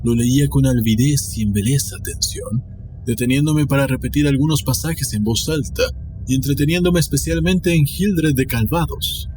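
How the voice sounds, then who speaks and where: A man reading a scripted story aloud as a dramatic audiobook narrator. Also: medium pitch. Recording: music behind the voice. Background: music.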